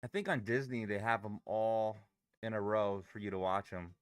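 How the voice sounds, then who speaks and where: A man whose voice is going hoarse, chatting casually with viewers on a live trading stream. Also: lowish voice, normal speed, dry recording. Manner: chatty, banters with chat while reading charts. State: hoarse, losing his voice.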